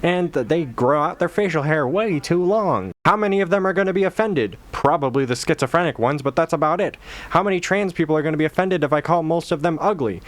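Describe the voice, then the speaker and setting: Guy doing sarcastic deadpan commentary, voice sits a bit high. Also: fast. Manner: mocking, deadpan ironic delivery. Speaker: commentary host.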